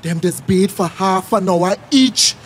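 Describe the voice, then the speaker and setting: A man's voice: sits high, measured pace, slight background noise. A lazy young man in his early twenties in a radio drama. He drawls and complains in a Trinidadian accent, by turns amorous and grumbling. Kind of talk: radio play acting in dialect.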